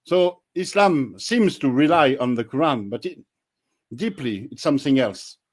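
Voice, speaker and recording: A man, a guest researcher on a panel, explaining things in a blunt, firm and measured way. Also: mid-range voice, expressive, a loud crowd. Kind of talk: casual panel discussion.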